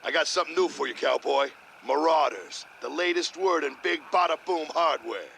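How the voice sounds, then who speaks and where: An actor playing a brash, crusty engineer with a gravelly voice in video game dialogue. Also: male voice, fairly high pitch, normal speed, background noise. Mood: eager.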